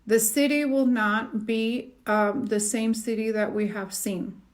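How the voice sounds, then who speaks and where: Woman, middle-aged, psychic, earnest, halting, many fillers, slightly high voice. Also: steady tone. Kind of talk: solo predictions monologue to camera.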